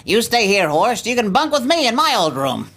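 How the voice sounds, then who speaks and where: A woman's voice: a little high, big pitch swings, moderate pace, fairly bright tone, exaggerated voice. A woman playing a man, a dutiful, affectionate grown son who commands a navy rocket, in a sci-fi radio play. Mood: guarded, affectionate.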